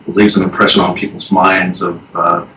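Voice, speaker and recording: Hesitant low-voiced man in an echoey room. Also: flat delivery, brisk, pushed and bright, slight background noise. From Texas. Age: 30s. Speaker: band member, guest. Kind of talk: casual interview chat. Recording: echoey room, distant from the mic.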